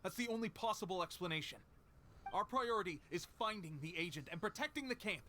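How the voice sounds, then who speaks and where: An actor playing an eager, self-important resistance soldier hungry for glory in a video game. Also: male voice, high-pitched, lively intonation, fairly quick, pushed and bright, slight background noise. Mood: alarmed, resolute.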